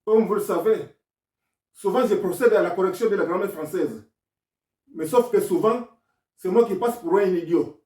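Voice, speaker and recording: A man with a higher-than-usual voice speaks French with a non-native accent, setting out a grammar lesson point by point. He sounds insistent and argumentative as he explains. The recording is roomy and echoey, with the mic set back from him. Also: moderate pace, long pauses, a little mellow, recorded from a distance. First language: not French. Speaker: self-taught grammar commentator.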